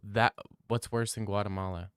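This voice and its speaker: A man's voice: on the low side, steady tone, normal speed, a bit bright, smooth, no echo, clean studio sound. A young man live-streaming from home on a desk mic, bantering loosely with his chat and swearing freely. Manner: loose, profane banter, reads chat aloud and riffs.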